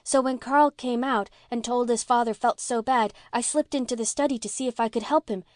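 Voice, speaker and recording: An earnest little girl voiced by a woman audiobook reader, high-pitched and sweetly anxious. She pleads in a breathless, halting way, as in an old-fashioned storybook. The sound is dry and close. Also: steady tone, a little mellow, dry recording, close to an everyday voice. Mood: earnest confiding. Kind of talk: audiobook character voice.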